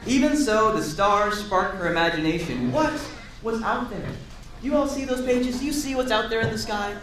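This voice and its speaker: A man reading a picture book aloud to children in an expressive, encouraging way, pausing to chat and ask them questions. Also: high-pitched. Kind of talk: animated read-aloud with asides to a young audience. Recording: echoey room, distant mic, audience sounds.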